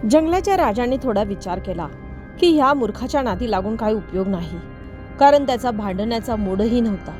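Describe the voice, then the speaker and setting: High-pitched woman narrating a story. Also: animated, fairly quick, a little mellow, faint music behind. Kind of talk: animated children's moral story narration.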